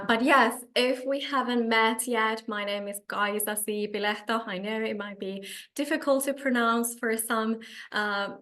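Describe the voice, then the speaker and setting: A woman from Finland with a Finnish accent, coaching a live online meetup and addressing participants directly in halting phrases. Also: mid-range voice, bright, forceful tone. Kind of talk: live webinar presentation to participants.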